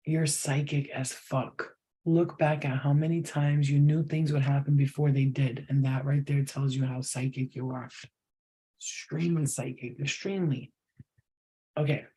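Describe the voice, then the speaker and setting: A man's voice: medium pitch, flat delivery, moderate pace, mellow, slightly rough, rough recording. A man giving a channeled psychic reading to viewers, talking straight to them, emphatic and repetitive. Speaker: psychic reader.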